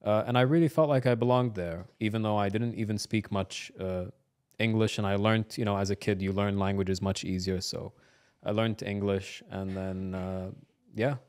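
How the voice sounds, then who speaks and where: Low-voiced hesitant young man, casual guest. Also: fairly even intonation, moderate pace, darkish tone, clean tone. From Iraq-born, grew up in New Zealand. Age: about 30. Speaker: interview guest. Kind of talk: casual autobiographical conversation.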